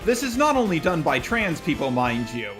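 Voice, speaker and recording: A man's voice: fairly high pitch, sing-song, normal speed, music in the background. A man hosting a video, giving scripted commentary. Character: persona of a robot. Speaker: host.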